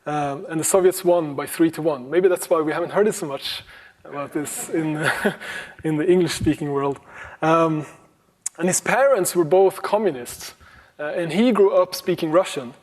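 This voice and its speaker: A man with a fairly high voice gives a conference talk on computing history. He speaks casually and haltingly, with plenty of ums, restarts and an occasional chuckle. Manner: relaxed, many ums and restarts, chuckles now and then.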